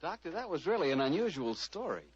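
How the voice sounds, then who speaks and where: An American man announcing and hosting in a 1940s radio style, with smooth, emphatic salesmanship. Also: on the high side, sing-song, quick pace. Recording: dry, muffled vintage radio recording.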